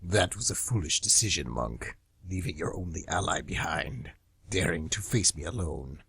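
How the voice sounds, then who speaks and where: A man voicing a menacing, scornful demon in an audio drama, with a gravelly, husky voice and formal, threatening speech. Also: sits low, animated, normal speed, fairly bright tone, voice pushed down, heavily acted. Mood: scornful menace. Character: menacing, taunting demon creature, cold and scornful.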